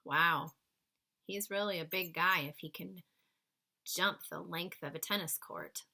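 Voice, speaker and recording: A woman reading a children's story aloud in an expressive, suspenseful way, stretching key words for emphasis and chatting to young listeners. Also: fairly low pitch, a little slow, creaky. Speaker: children's book read-aloud reader.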